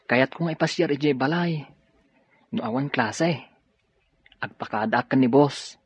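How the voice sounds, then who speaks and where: A man acting an earnest young student courting a girl in an Ilocano audio drama, with a husky voice. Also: medium pitch, a little slow, dry recording. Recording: dull lo-fi recording, music behind.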